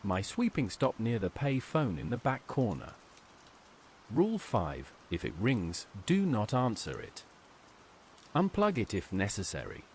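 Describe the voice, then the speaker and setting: A man reads a scripted horror tale as its narrator. His delivery is measured and dramatic, with a medium-pitched voice that moves widely up and down. Soft rain runs behind him. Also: slight background noise.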